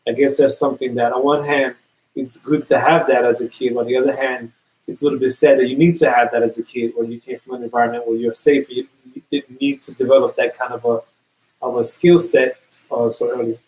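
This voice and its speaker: A man's voice: medium pitch, fairly even intonation, a little fast, darkish tone, rough recording. A man from New York co-hosting a casual talk show, often repeating and restarting phrases. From New York City. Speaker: podcast co-host. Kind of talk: casual conversation.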